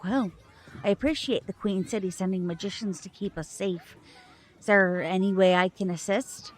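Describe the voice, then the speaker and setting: Woman as protective magician mother, audio drama, formal and measured, gracious, quietly suspicious, medium pitch. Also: lightly acted. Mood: gracious, probing.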